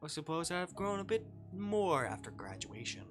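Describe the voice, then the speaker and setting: A dry-witted young man from a visual novel, as a streamer acts him out, teasing with polite, slightly formal phrasing. His voice sits at a middle pitch. Music plays clearly underneath. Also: big pitch swings, normal speed, lightly acted. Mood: playful. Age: young adult. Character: teasing, dry-witted young man, former college classmate, now model.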